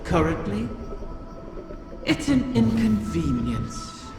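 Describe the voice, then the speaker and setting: A woman's voice: mid-range voice, very animated, slow pace, gravelly, over music, heavily acted. A woman playing the spirit of a bitter, scheming mother dragon in a video game, grandiose and menacing. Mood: grave. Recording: echoing, long reverberant processed voice.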